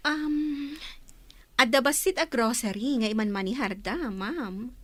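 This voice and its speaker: Woman as coy young schemer, high lively voice, close dry sound, materialistic, melodramatic audio drama. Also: measured pace, dry recording. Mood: hesitant. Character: sly, materialistic young girlfriend and reluctant wife.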